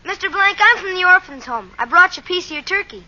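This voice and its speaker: A woman's voice: extremely high pitch, lively intonation, fast talker, pushed and bright, heavily acted. Piping little orphan boy. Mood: earnest. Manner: earnest, polite. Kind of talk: 1940s radio comedy acting. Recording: muffled old radio broadcast.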